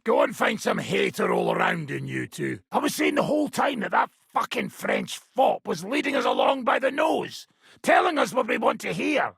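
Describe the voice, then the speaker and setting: An actor playing a coarse, combative medieval mercenary commander in a video game, with a gravelly, raspy voice and a blunt, profane, gruff manner. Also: male voice, high-pitched, wildly swooping pitch, normal speed, a bit bright, dry recording, clean studio sound, full character voice. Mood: mocking. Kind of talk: video game character acting.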